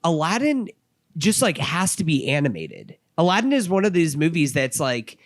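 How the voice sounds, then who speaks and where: Hoarse, slightly higher-voiced man. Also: normal speed, dry recording, studio quality. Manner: rambling, animated, laughs often. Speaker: podcast co-host. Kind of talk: casual movie review chat.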